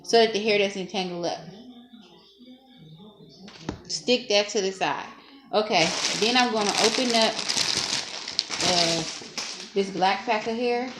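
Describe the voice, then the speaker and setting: A young woman talking casually through a hair-braiding tutorial at home, chatty and self-teasing, laughing often, recorded on a camera propped low in front of her. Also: measured pace. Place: small dim room at home. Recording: echoey room, faint noise.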